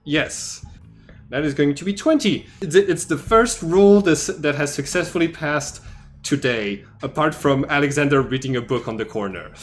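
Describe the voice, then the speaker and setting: A man narrating as game master of a tabletop game, loose and relaxed, with many fillers. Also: on the high side, moderate pace, faint music behind.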